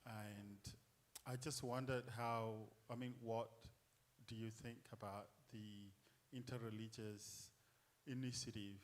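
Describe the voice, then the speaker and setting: Lower-voiced man from South Sudan. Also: steady tone, slow pace. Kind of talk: asking a question at a public lecture.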